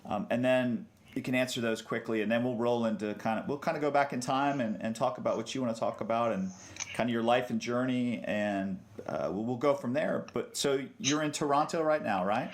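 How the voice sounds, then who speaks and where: A man's voice: mid-range voice, fairly quick. A man hosting a podcast interview, asking questions in an informal, responsive, chatty way. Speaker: podcast host. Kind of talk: casual interview chat.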